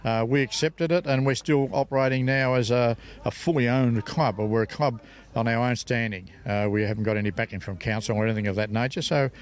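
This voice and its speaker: Older man, Australian accent, middle pitch, halting delivery, club spokesman, interview answers. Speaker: bowls club representative interviewee.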